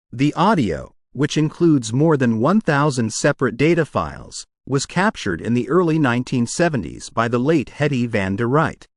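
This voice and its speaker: A man reading short academic texts aloud from a script in a measured, carefully enunciated way. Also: mid-range voice, no echo, clean studio sound.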